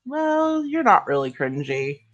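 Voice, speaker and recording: Woman cohost, jokey and crude, bouncy pitch, talks slow. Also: very animated, dull audio, faint music behind. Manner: playful, teasing, crude jokes. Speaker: podcast co-host. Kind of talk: casual chat.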